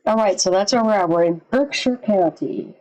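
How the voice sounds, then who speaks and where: A woman giving casual live reaction commentary as a streamer, informal and sweary as she thinks out loud. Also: mid-range voice, moderate pace, rough recording. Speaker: streamer reacting to and analysing horror videos.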